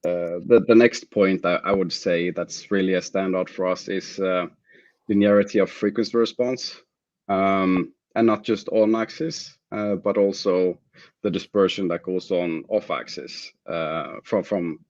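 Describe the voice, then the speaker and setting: A man with a low voice gives technical answers as an interview guest. His phrasing is halting and measured. The recording is dry and a little dull. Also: steady tone, a little mellow, dry recording. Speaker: product manager, interview guest.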